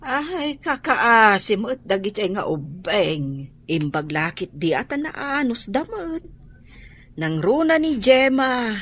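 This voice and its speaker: A woman's voice: on the low side, fairly bright tone, exaggerated voice. A woman playing a kindly, doting grandmother in a Filipino radio drama.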